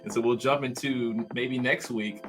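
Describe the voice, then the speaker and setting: A man's voice: mid-range voice, steady tone, normal speed, music in the background. Upbeat man host on a video call. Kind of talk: casual video-call chat. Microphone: headset, video call.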